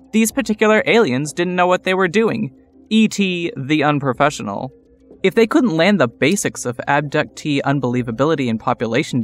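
A man's voice: fairly high pitch, fairly bright tone. A man narrating a scripted story with wry, theatrical emphasis. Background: music score.

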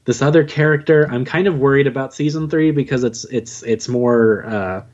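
A man being interviewed as a podcast guest, chatting casually in a halting, self-deprecating way. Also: medium pitch, darkish tone. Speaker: podcast guest, audio drama writer and narrator. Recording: dull, lo-fi audio.

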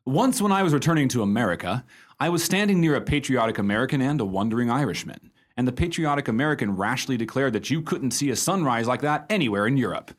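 A man's voice: mid-range voice, lively intonation, quick pace, natural voice. An actor playing a jovial, wry American man spinning tales for friends in a radio play, playfully theatrical. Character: wry, jovial American male raconteur telling friends a ghost story.

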